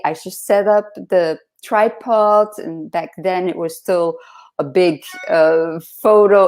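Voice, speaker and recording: A soft-spoken woman hosting a casual interview over a video call, earnest and reflective, laughing often. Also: mid-range voice. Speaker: host.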